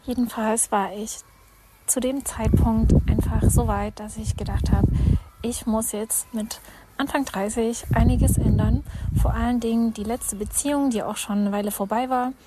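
A woman's voice: a little high, fairly even intonation, normal speed. Hesitant woman with a noisy voice message. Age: 30s. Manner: hesitant, many fillers, shy. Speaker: caller sending a voice message. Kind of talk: voice-message story. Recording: loud background noise.

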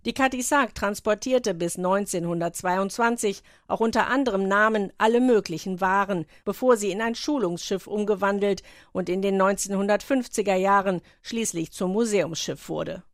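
A woman's voice: mid-range voice, normal speed, few pauses, no echo, studio quality. A woman radio correspondent narrating a scripted feature report.

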